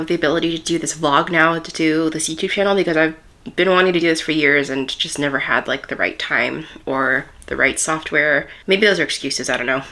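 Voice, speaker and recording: A woman in her late twenties talks to the camera while doing her makeup, sounding slightly hoarse and congested from a cold. Her voice sits a little low but comes across bright and forward. She rambles softly and openly, like chatting with a friend. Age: 20s. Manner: soft, rambling, candid. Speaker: vlogger. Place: at home.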